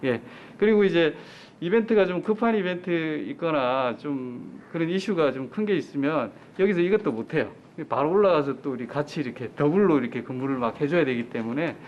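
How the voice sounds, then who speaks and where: A man's voice: fairly high pitch, lively intonation, fairly quick, hardly any pauses, darkish tone. A man casually explaining his shift work on site as an air traffic control worker. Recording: dull sound, noisy workplace ambience.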